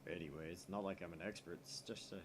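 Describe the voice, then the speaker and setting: A man voicing a laid-back young male hacker friend who talks in an offhand, low mumble. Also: fairly even intonation, fast talker, background noise, natural voice. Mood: explaining.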